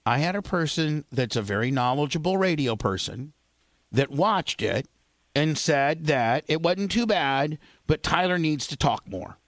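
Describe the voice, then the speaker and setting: Brief: middle-aged man, radio host, crude teasing banter, slightly high voice, dry studio. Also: lively intonation, a little slow, no echo. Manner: profane, teasing, rambling.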